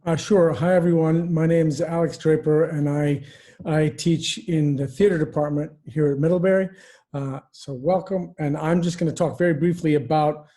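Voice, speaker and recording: A man who teaches theater, speaking thoughtfully on a video-call webinar panel, pausing and restarting often. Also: fairly high pitch, fairly even intonation, mellow. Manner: thoughtful, hesitant, many fillers and restarts. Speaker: theater professor.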